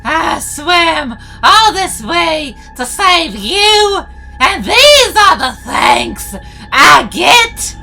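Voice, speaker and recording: Imperious, bright-voiced pony queen. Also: extremely high pitch, big pitch swings, drawn-out words, gravelly, faint music behind, heavily acted. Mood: outraged, pleading. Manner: dramatic, accusing, commanding. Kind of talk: fan comic dub, cartoon acting.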